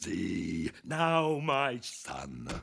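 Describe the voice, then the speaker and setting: An actor playing a solemn, fatherly guide through hell in a radio drama, with a gravelly, drawn-out delivery. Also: medium pitch, wildly swooping pitch, noisy background, heavily acted.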